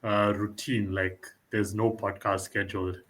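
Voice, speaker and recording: Casual male podcast host, lowish voice. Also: level tone, unhurried, a bit bright. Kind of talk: casual interview chat.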